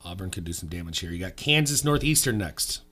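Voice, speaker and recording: A man hosting sports radio, brisk and easygoing, keeping the picks moving. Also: low-pitched, animated, sharp tone. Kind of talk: casual radio chat. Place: radio studio.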